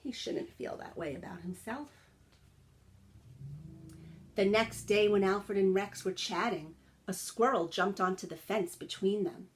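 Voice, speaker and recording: Slow-paced woman reading a children's story. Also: mid-range voice. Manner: expressive, sympathetic, explains simply to children. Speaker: teacher reading a picture book aloud. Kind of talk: children's story read-aloud with simple explanatory asides.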